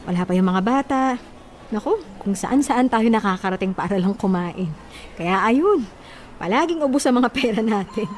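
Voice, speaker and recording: A woman's voice: medium pitch, animated, normal speed, a little hiss or hum, lightly acted. An actress playing an affectionate, hurt young wife and mother in an emotional, pleading Filipino radio drama style. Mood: fond, wistful.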